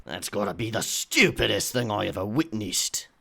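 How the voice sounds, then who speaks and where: An actor playing an angry, gruff pirate quartermaster in an audio drama, scolding and grumbling bluntly. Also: male voice, on the low side, lively intonation, normal speed, sharp tone, exaggerated voice. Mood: furious. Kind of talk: full-cast audio drama acting.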